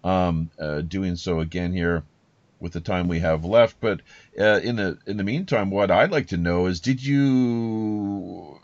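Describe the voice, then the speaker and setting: Male podcast host, low voice, casual halting questioner, dry and slightly dull recording. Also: darkish tone, no echo. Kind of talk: casual conversational interviewing. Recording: dull lo-fi audio.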